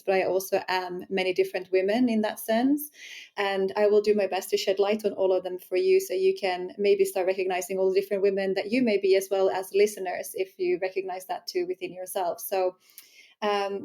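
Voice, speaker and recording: Mellow-voiced Finnish woman, reflective. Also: mid-range voice. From Finland. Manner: reflective, flowing, earnest. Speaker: guest, sensuality empowerment coach. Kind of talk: podcast interview.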